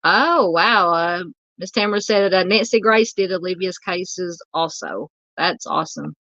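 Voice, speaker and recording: A Southern woman hosts a casual livestream, rambling warmly through comments with plenty of ums and uhs. Her voice sounds tired and on the edge of giving out. The sound is a bit dull and muffled, with a dry, close feel and no room echo. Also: lowish voice, measured pace. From Southern United States. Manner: rambling, chatty, many fillers. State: losing her voice. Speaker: true crime livestream host.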